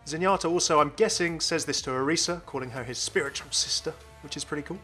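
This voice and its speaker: A man's voice: fairly high pitch, fast, bright, forceful tone, soft background music. A man hosting a gaming channel, giving chatty, enthusiastic, informal commentary on a video game.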